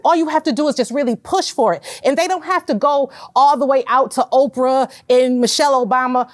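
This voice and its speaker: Loud connecticut lady pitching her shop, high voice, dry close sound, keeps saying y'all. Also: dry recording. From Hartford, Connecticut. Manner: loud, emphatic, addresses listeners as y'all. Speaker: business owner. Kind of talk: spoken business pitch.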